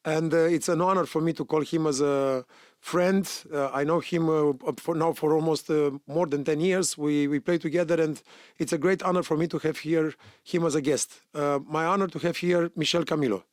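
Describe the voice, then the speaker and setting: Higher-voiced man hosting, dry close sound. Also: fairly even intonation, normal speed, dry recording. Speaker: podcast host. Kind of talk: casual podcast interview.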